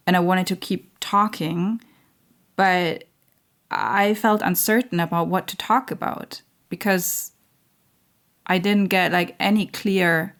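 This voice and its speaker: A woman hosting her own spiritual channel, talking in a slow, searching way with many fillers and restarts while losing her voice. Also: on the low side. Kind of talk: reflective spiritual monologue.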